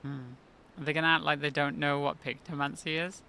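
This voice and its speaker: A woman streaming a video game live, chatting and reacting excitably to the game. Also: low voice, brisk, slight background noise. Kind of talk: live gameplay commentary. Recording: gravelly voice over clear game music.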